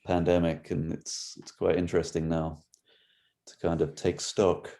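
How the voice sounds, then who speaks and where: A man's voice: sits low, level tone, a little slow, warm tone. A man hosting a podcast, chatting casually and rambling a little, laughing easily. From England. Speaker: podcast host. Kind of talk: casual video-call interview.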